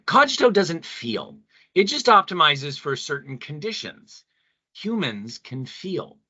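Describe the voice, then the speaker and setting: A male actor plays an earnest male pastor in an audio drama debate. His voice is bright and forceful, swinging widely as he preaches solemnly. The sound is close, dry and slightly muffled. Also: fairly high pitch, very animated, measured pace, breathy edge, no echo, full character voice. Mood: earnest, reverent. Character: earnest, mystical male pastor and spiritual leader. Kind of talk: radio play debate acting.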